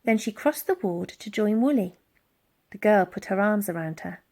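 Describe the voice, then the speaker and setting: A woman reading a children's storybook aloud as an expressive, dramatic narrator who acts out the sound words. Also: medium pitch, normal speed. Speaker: audiobook reader.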